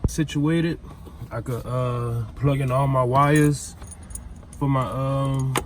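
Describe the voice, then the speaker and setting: American guy doing a diy car vlog, slangy and laid out casual, clear noise behind him in the vehicle. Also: mid-range voice, steady tone, slow. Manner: informal, slangy. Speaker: vlogger demonstrating a DIY car install. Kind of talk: casual hands-on vlog chat. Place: in or around a vehicle.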